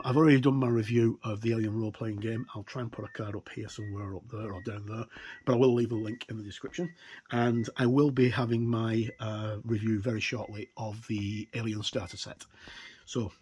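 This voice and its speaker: A man giving a casual, somewhat halting unboxing commentary as a tabletop game reviewer. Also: fairly low pitch, steady tone, a little mellow, breathy edge.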